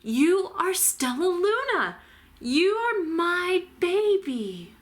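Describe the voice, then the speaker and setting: A woman's voice: very high-pitched, sing-song, drawn-out words, pitched above the performer's own voice, exaggerated voice. A woman voicing a tender, assured mother bat in a storybook, speaking with emphatic reassurance. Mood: amazed, tender.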